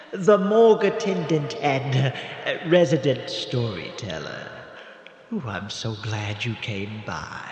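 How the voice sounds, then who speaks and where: An actor playing an eccentric, obliging old morgue attendant who tells spooky radio tales in a theatrical, drawn-out way. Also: male voice, medium pitch. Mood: gleeful, welcoming. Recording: reverberant radio drama, music behind.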